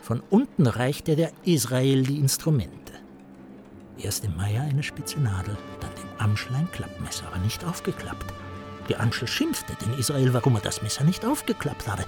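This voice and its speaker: A man playing a lurid storyteller in a German radio play, theatrical and insinuating, at times sinking to a breathy murmur. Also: medium pitch, very animated, moderate pace, over music. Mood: lurid, conspiratorial. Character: Herr Hund, a man spinning lurid hateful tales with relish.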